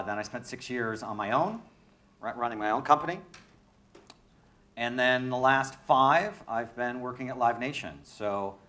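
A man in his forties, from New York, speaking as a panelist on a conference stage, brisk and matter-of-fact into a handheld microphone. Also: mid-range voice, fairly bright tone. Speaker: panelist, concert promoter. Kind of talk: panel discussion.

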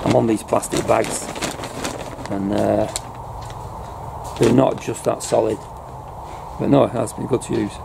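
Middle-aged guy, low voice, chatting in a farm shed, lots of background noise. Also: fairly even intonation, a little slow, vocal fry, long pauses. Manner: low-key, chatty, fillers like "you know". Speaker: airgun pest controller. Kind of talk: casual talk to camera. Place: farm building loft, indoors. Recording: noisy outdoor-farm ambience.

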